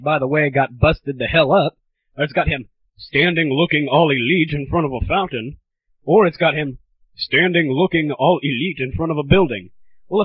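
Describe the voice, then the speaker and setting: Husky high-pitched man on a sarcastic rant. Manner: profane, belligerent, sarcastic. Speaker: host of a gaming community audio show. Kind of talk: ranting comedic monologue. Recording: dull lo-fi 1990s amateur recording.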